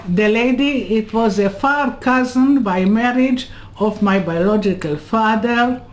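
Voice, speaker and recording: A woman in her eighties from Serbia, telling her life story in an interview, in halting non-native English full of asides. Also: fairly high pitch. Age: 80s. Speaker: Holocaust survivor interviewee. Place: home room lined with bookcases. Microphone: webcam on a video call.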